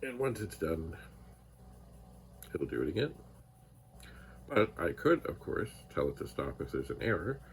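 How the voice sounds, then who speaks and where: A soft-spoken man casually thinking aloud while testing old computer gear in his home computer room, trailing into whispered asides. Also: sits low, big pitch swings, a little slow, long pauses, a little hiss or hum. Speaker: retro computer vlogger. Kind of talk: casual rambling narration while testing hardware. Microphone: phone mic.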